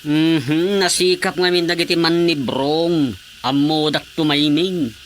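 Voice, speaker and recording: A man's voice: on the high side, fairly even intonation, slow, slight background noise. A man playing a hapless village councilor on patrol in a comic radio drama, hesitant and full of excuses. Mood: puzzled, resigned.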